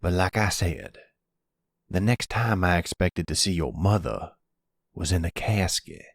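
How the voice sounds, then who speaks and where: A man acts out a gentle older grandfather full of regret. His voice is deep and unhurried, soothing and measured, as in an intimate roleplay audio drama. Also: lively intonation, no echo, studio quality, full character voice. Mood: regretful, tender. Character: remorseful older grandfather, silver fox, tender, soothing.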